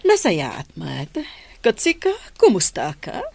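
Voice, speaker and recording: A woman's voice: low voice, wildly swooping pitch, measured pace, gravelly, breathy edge, exaggerated voice. An actress with an Ilocano accent playing a gracious, friendly lady employer in a radio drama. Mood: welcoming. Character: gracious, friendly madam employing a married couple.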